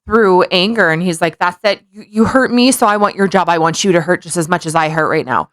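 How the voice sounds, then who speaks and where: A woman's voice: fairly low pitch, fairly quick, dry recording, clean studio sound. A woman co-hosting a podcast in casual chat, with blunt, teasing, sarcastic commentary and mocking reading aloud. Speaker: podcast co-host.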